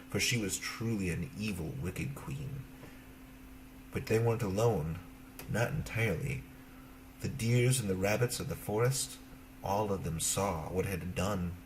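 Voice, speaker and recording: A man narrating a children's fairy tale as an expressive storyteller, in simple phrases. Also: lowish voice, slight background noise, low-quality recording.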